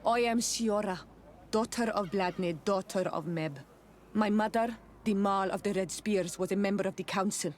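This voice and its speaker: A woman's voice: medium pitch, moderate pace, a little hiss or hum, close to an everyday voice. Female actor, young tribeswoman, earnest, proud, formal, respectful, video game cutscene, light ambience.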